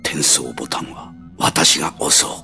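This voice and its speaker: A male actor playing a pompous, excitable alien spaceship captain in an anime audio drama, with grandiose, old-fashioned speech. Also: rumbling low, moderate pace, vocal fry, soft background music, exaggerated voice. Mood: scheming.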